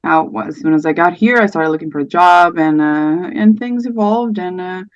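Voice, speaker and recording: Soft-spoken Portuguese woman in her thirties, dry muffled audio. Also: lowish voice, moderate pace, no echo. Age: 30s. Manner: soft-spoken, hesitant, many fillers. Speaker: podcast guest. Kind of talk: personal story in conversation.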